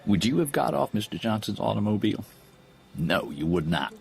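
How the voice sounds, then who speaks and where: Deep, gravelly, suspicious politician. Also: male voice, very animated, a little mellow. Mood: pressing, insistent. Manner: probing, deliberate, folksy. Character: shrewd, suspicious Texan politician, middle-aged man. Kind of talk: audio drama acting.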